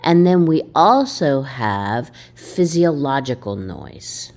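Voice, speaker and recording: A woman's voice: a bass voice, slow pace. A woman instructor giving a recorded video lecture in an emphatic way, asking her students questions as she explains. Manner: animated, emphatic, asks the listener questions. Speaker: college instructor.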